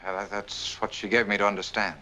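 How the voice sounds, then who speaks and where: A man's voice: lowish voice, little pitch movement, a little fast, sharp tone. An actor playing a suspicious, insistent young man in a 1940s film noir, speaking bluntly and with disbelief. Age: young adult. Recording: old 1940s film soundtrack.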